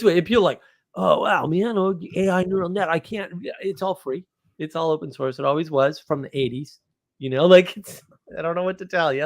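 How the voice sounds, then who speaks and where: A man's voice: medium pitch, vocal fry, a bit bright. A man talking casually and off the cuff as a live stream host, with a blunt, opinionated, rambling manner. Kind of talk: casual unscripted monologue.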